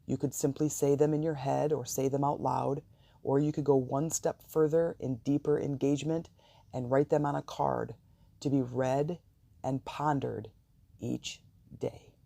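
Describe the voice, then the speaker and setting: A meditation host with a soft, gravelly voice, guiding listeners calmly and soothingly with deliberate pauses. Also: medium pitch, no echo. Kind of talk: guided meditation and affirmations.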